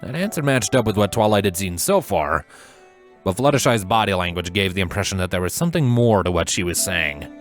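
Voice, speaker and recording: A man narrating a scripted story in a measured, dramatic storytelling manner. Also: deep voice, expressive. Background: music.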